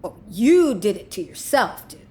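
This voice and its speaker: A woman's voice: mid-range voice, very animated, normal speed, a little mellow, husky. An actress playing a breezy, sardonic, slangy woman who teases her panicking male partner in a naturalistic audio drama. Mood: flippant, teasing. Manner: flippant, teasing, slangy.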